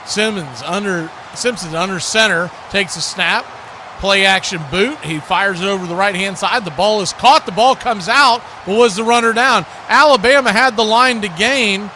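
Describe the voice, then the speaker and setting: A man's voice: sits high, moderate pace, bright, forceful tone, a little hiss or hum. A man hosting a livestream, calling football play-by-play and chatting casually with viewers. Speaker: livestream host and play-by-play commentator.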